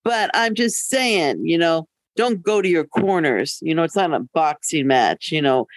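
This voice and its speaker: A woman's voice: low voice, animated, a little slow, dry recording. An older woman co-hosting a podcast in casual conversation, candid and anecdotal with a dry joking streak. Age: older, over 50. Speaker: podcast co-host.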